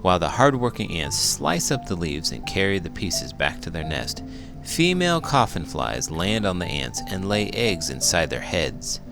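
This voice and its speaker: A man reading a children's book aloud as a teacher would, expressive and full of big emotion. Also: low voice, gravelly. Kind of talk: animated children's read-aloud narration.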